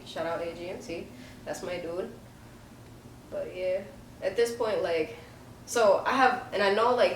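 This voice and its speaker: A woman guest on an interview show, talking candidly about her music with relaxed slang and swearing. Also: fairly low pitch. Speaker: rapper guest. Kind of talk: casual interview chat. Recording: echoey room, mic at a distance, faint background noise.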